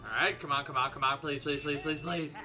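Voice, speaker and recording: A man's voice: a little high, steady tone, fairly quick. A man co-hosting a game playthrough in casual, playful banter, often repeating short phrases. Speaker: let's play co-host and player. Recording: game music behind the voice. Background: Pokemon battle game music.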